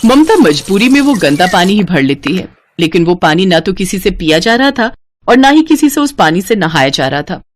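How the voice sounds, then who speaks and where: A woman's voice: fairly low pitch, sing-song, moderate pace. A woman storyteller reading a scripted moral story as narrator.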